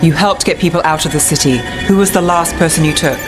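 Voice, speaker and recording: A woman's voice: low-pitched, fast talker, lightly acted. A cool, weary investigator played by a woman for a video game. Her lines are clipped, matter-of-fact and guarded, with music playing behind. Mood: firm, probing. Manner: dry, terse, matter-of-fact. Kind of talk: video game character acting.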